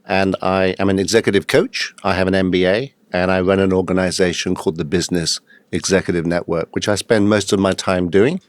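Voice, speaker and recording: A man, speaking in a deep, low register. He tells his story steadily and plainly, with occasional hesitations. The close recording is dry and free of room echo. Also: fairly quick. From England. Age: 50s. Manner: steady, matter-of-fact storytelling with occasional uhs. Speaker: guest, executive coach. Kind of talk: podcast interview.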